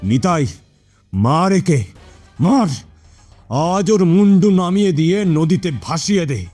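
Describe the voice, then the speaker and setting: A man's voice: high-pitched, expressive, unhurried, dark tone, soft background music. A man playing a ruthless village landlord in a cartoon, giving threatening, commanding orders and repeating them. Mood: murderous.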